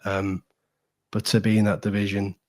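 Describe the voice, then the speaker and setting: A man's voice: on the low side, completely flat delivery, normal speed. A man chatting casually and with strong opinions as a football podcast guest, emphatic and repeating phrases to drive points home.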